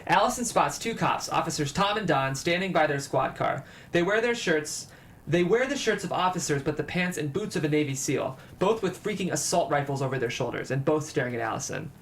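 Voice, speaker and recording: Narrator for screenplay table read, scripted stage directions, middle pitch, some background noise. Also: lively intonation, brisk.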